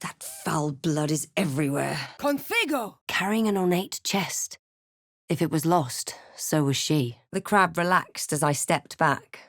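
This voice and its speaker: An actress playing a thoughtful, wary adventurer and spellcaster in a fantasy video game, speaking in a measured, deliberate way with dry snark. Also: female voice, low-pitched, expressive, no echo, heavily acted. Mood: commanding incantation. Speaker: video game player character. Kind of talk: scripted game voice lines, narration and barks.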